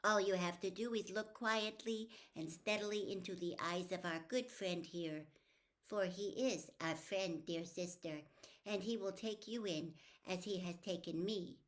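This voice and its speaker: A woman voicing a possessed small boy in an audiobook, speaking in a calm, measured, persuasive and sinister way. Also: medium pitch, natural voice. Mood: eerily calm, coaxing. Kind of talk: audiobook character voice.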